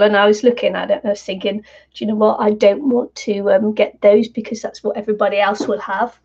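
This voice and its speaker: Older woman craft host, croaky and gravelly, rambling and friendly, lo-fi live stream sound. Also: mid-range voice, darkish tone. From New Zealand. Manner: chatty, laughs often, many fillers. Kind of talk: casual live stream chat. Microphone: computer mic on live stream. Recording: dull lo-fi stream audio.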